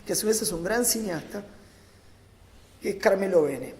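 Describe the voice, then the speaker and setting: A man giving an improvised philosophical talk on a panel, phrasing deliberately and emphatically in short bursts with pauses. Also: sits high, animated, darkish tone. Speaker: panel speaker, academic. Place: large reverberant conference room. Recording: echoey hall with long reverb.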